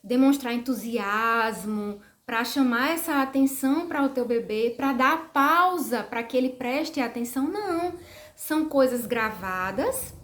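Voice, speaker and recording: A woman, a speech therapist explaining to parents in a didactic way, often checking in with "tá?" and slowing down to stress words. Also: fairly high pitch, animated, fairly bright tone. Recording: echoey room.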